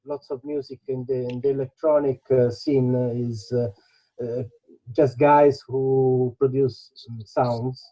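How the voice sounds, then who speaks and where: A man giving his opinions in an interview in very hesitant, non-native English, searching for words with many pauses. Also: medium pitch, level tone, dark tone, dull audio. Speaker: musician interviewed. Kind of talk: reflective interview talk.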